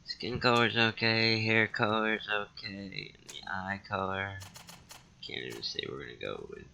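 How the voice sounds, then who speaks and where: A man's voice: fairly low pitch, unhurried, creaky, bright, forceful tone, a little hiss or hum. A man hosting a casual let's play, musing and thinking aloud as he goes. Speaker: gaming channel host.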